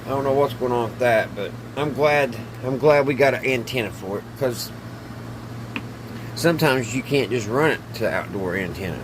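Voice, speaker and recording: A man's voice: mid-range voice, normal speed. A man with a Southern US accent talking casually and plainly through a hands-on repair video, folksy in manner. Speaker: host of a radio repair video. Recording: steady background hum and radio noise.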